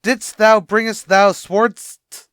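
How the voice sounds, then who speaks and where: A man's voice: sits high, little pitch movement, takes it slowly, a bit bright, clean tone, dry recording, clean studio sound. An actor playing a brash male bar manager in an old-time radio comedy, declaiming in hammy mock-Shakespearean style. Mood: pompous.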